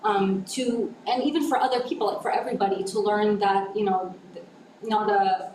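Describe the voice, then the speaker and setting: A woman's voice: medium pitch, fairly even intonation, normal speed, darkish tone. A woman from Canada in the audience, commenting at a symposium, thinking aloud with many ums. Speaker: audience member. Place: university symposium room. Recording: echoey room with clear noise.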